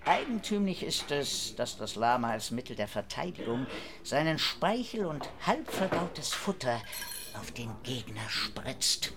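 An actor playing a sickly, sardonic scholar in a German radio drama, speaking in an ornate, pompous, theatrical way. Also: male voice, medium pitch, very animated, unhurried, creaky, noisy background. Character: sickly, sardonic male scholar complaining with grandiose eloquence.

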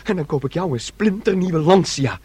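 An actor playing a naive, simple nineteen-year-old son in a radio drama, speaking in a guileless, childlike, earnest way. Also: male voice, fairly high pitch, animated, moderate pace, mellow, husky, no echo. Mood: boyishly eager.

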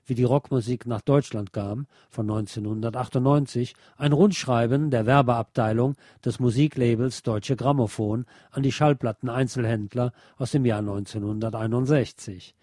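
A man's voice: mid-range voice, steady tone, moderate pace, hardly any pauses, warm tone, no room sound, studio quality. A man presenting a scripted, lecture-like essay as a narrator. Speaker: presenter reading a radio essay.

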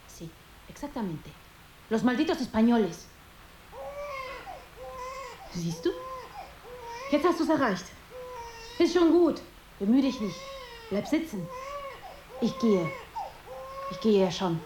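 A woman's voice: fairly high pitch, very animated, slow pace, pauses often, roomy sound, noisy background. An actress in a German radio drama playing a weary, hurt wife who reproaches and pleads with her husband, slipping into Spanish. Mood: bitterly hurt.

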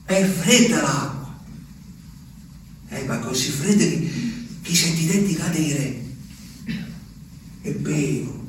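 An actor playing a rustic, agitated peasant in a halting, repetitive stage monologue. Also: male voice, on the high side, animated. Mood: weary, quiet. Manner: halting, repetitive, volatile between murmurs and shouts. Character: rustic, agitated Abruzzese peasant man recounting his journey. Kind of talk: theatrical dramatic monologue. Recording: very echoey distant stage recording, faint noise.

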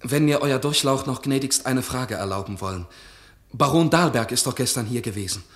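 A man's voice: medium pitch, lively intonation, a little fast, a bit bright, lightly acted. An actor playing a young army doctor and poet who pleads with his ruler in a radio play, deferential and earnest. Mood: pleading. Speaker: regimental medic and playwright. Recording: roomy radio drama studio.

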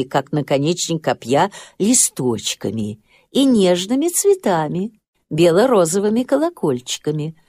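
A woman narrating a children's story in an expressive storybook style, with lilting, unhurried phrasing. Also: low-pitched, dry recording.

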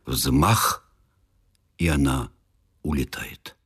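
A man with an extremely deep, husky voice recites poetry slowly and heavily. Each line is given space and weight. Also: a bit bright. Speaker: reader of original war poetry.

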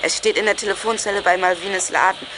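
An actor playing an eager young scout of a detective gang, reporting briskly in a German children's radio play. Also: mid-range voice, steady tone, background noise, lightly acted. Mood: urgent. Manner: brisk, reporting over walkie-talkie.

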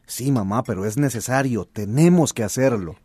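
An actor playing a reflective but determined grown son in a radio drama. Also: male voice, medium pitch, moderate pace, mellow, slightly rough, natural voice. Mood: wistful, resolved.

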